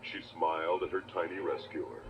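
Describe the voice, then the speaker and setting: A man's voice: sits low, moderate pace, gravelly, very noisy. A man giving dramatic scripted narration for a children's read-along adventure. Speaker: storybook narrator. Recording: old cassette, music behind. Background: music.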